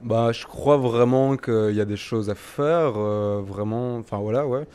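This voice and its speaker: A man's voice: mid-range voice, a little slow, darkish tone, clean tone. A young man in his twenties from Belgium, a musician answering festival interview questions with many hesitations and restarts. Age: 20s.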